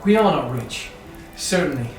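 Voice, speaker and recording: An actor playing a kindly, hopeful Victorian gentleman and brother in his thirties, with formal, earnest phrasing in a literary drama. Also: mid-range voice, animated, normal speed, close to an everyday voice. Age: 30s. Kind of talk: stage-style literary radio drama acting. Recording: very echoey, distant, music behind.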